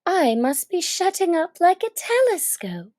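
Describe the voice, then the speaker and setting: A woman's voice: very high-pitched, big pitch swings, unhurried, darkish tone, pitched above the performer's own voice, heavily acted. A woman voicing a curious, earnest young girl who talks to herself in exclamations in a children's storybook. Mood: amazed. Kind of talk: expressive children's storybook character reading.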